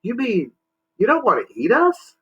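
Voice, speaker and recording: A man's voice: very high-pitched, huge pitch swings, moderate pace, pushed and bright, husky, voice pushed up, heavily acted. A man voicing a plucky young boy space traveler, earnest and exclaiming, on a muffled lo-fi recording. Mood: worried.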